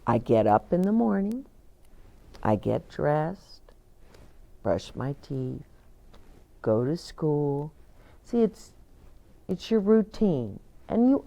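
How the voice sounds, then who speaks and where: Older female children's storytime host, lowish voice, unhurried and animated read-aloud. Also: creaky. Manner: deliberate, simple explanations as to small children. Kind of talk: expressive picture-book read-aloud with asides to young children. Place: indoor bookshop-like set with shelves, seated in armchair.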